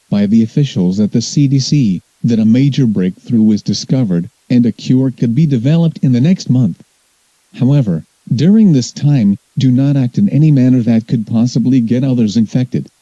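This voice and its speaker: A man playing a grave US President who delivers a solemn, measured, formal emergency address in an audio drama. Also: medium pitch, mellow, no room sound, lo-fi sound, close to an everyday voice.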